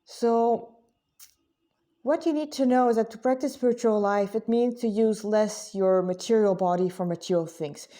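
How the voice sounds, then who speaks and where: A woman giving an informal spiritual talk to listeners as a religious vlogger, conversational and halting, often correcting herself. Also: fairly high pitch, warm tone. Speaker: religious vlogger, Krishna devotee.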